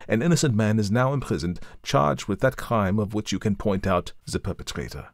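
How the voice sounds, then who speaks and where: A man voicing a genial, sharp-witted gentleman detective who speaks in a measured, courteous way. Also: fairly low pitch, no echo, lightly acted. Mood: calm, courteous.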